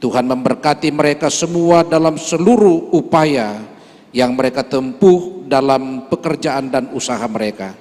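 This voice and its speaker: A man's voice: medium pitch, moderate pace, darkish tone. A man from Toraja, a church leader, giving an earnest speech and then a solemn prayer at a Christmas service. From Toraja, Indonesia. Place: large church hall. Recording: long hall reverb.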